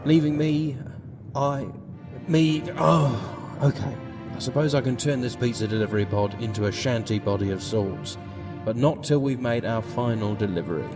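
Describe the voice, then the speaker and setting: A man's voice: medium pitch, moderate pace, lightly acted. Guy doing a grumbly sarcastic space delivery man, theatrical and exasperated, audio drama with music behind. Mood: grudging, resigned. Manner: grumbling, sardonic, theatrical sighing. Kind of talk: comedy audio drama acting.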